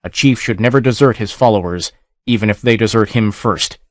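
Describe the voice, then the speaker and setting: Male narrator reading a gruff barbarian warlord, low voice, blunt delivery, dry recording. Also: fairly quick, dry recording, lightly acted. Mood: determined.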